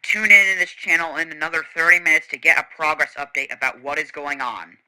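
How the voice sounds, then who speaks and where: A man delivers a mock emergency broadcast in a very bright, forceful voice. His tone is smooth and clear, somewhat high for a man. He reads stern warnings like an announcer, stumbling now and then. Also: steady tone, fast talker, rough recording.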